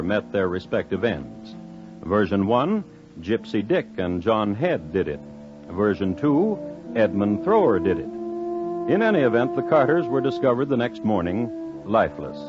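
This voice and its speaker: An actor narrating a 1950s radio crime drama as a dry-witted, deadpan storyteller with formal, measured phrasing. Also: male voice, fairly low pitch. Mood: droll, measured. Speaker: host and narrator. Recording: dull old radio recording. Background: faint music.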